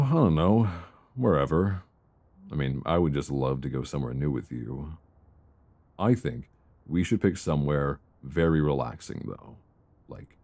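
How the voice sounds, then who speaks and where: Guy doing a cozy bookish boyfriend asmr, really low warm voice, gentle and soothing, close to the mic. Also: creaky, full character voice. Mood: tender, wistful. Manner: soft, tender, unhurried, soothing. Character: affectionate, bookish boyfriend soothing his partner at bedtime. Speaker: ASMR roleplay performer. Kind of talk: intimate ASMR roleplay. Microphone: close binaural microphone.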